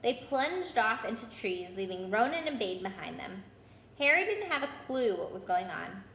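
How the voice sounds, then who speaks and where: A woman storyteller reading a children's book aloud in an expressive narrating style. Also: mid-range voice, normal speed, creaky. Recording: roomy echo with background noise.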